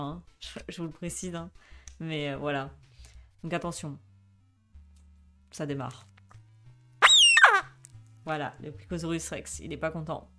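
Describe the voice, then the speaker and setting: A woman in her twenties chatting casually on a live stream, with many fillers, reading chat aloud and laughing often. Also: on the low side. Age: 20s.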